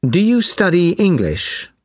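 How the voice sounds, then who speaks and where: A woman playing an examiner in a speaking test, asking each question slowly and clearly. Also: lowish voice, huge pitch swings, darkish tone, dry recording, soft background music. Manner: slow, clearly spaced questions. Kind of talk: scripted exam interview.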